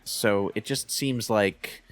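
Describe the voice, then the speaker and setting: Man, fairly low pitch, casual debate style, halting phrasing, repeated words, gaming commentary. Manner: halting, repeats words while forming arguments. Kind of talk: casual opinionated discussion of video games.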